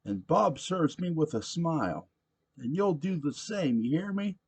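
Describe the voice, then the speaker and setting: Guy putting on a loud drunk bar regular, raised and huffy, kinda husky. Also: a little high, sing-song, a little slow, pitched above the performer's own voice, heavily acted. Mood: belligerent. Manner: gruff, pushy, shouting.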